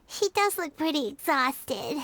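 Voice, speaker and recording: A woman voicing a small, cheerful, excitable fairy companion in a video game, with a childlike, bubbly manner. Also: sits high, unhurried, slight background noise. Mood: concerned. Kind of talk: video game character acting. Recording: game music behind the voice.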